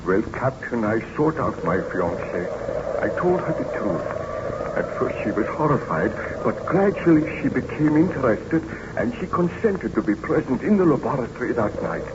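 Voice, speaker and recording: An actor in an old-time radio drama playing an obsessed, ambitious young scientist, speaking in formal, theatrical, grandiose phrases. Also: male voice, mid-range voice, very animated, normal speed, lightly acted. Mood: solemn, narrating. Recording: muffled, noisy vintage radio recording.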